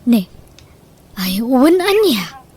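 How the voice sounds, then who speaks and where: A woman playing a scheming, sharp-tongued mother in a radio drama, with a Filipino accent. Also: on the high side, very animated, very slow, full character voice. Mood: agreeable, sly. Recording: noticeable background noise.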